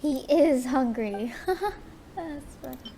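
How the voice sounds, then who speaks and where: A woman's voice: high-pitched, lively intonation, extremely slow, a little hiss or hum. A woman giving casual gameplay commentary, reacting in short, offhand, chatty bursts. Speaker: streamer playing a video game. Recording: loud game music behind the voice.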